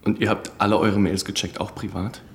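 A man's voice: on the low side, flat delivery, brisk, vocal fry. An actor playing a foul-mouthed, agitated young male activist with slangy, abrasive delivery in a radio crime drama. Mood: defiant.

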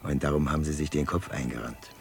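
A man's voice: very deep voice, steady tone, fast, creaky, soft background music. An actor playing a lonely older man who coaxes a young woman into helping him, in a 1960s radio play. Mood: coaxing. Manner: coaxing, persuasive. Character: lonely old man, carousel owner, coaxing.

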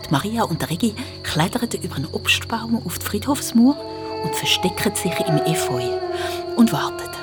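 A woman's voice: mid-range voice, expressive, normal speed, loud music behind the voice. A woman narrating a scripted children's audio story.

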